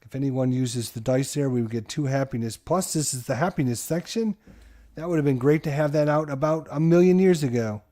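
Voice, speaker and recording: A man hosting a solo board game playthrough, giving excited play-by-play to his viewers like a sports announcer. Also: mid-range voice, fairly quick. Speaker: board game channel host.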